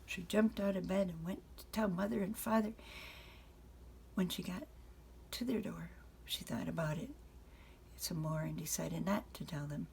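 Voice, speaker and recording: An older woman, a grandmother, reading a children's picture book aloud in an unhurried way, pausing to address the children. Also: lowish voice, vocal fry, darkish tone, slight background noise.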